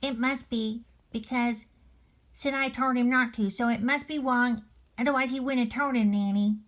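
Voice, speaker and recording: A woman's voice: fairly high pitch, steady tone, normal speed, pitched above the performer's own voice, lightly acted. A woman voicing a small, childlike, curious and easily worried character for a children's storytime. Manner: childlike, eager, worried exclamations and questions. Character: Squishy, curious anxious childlike little puppet pal.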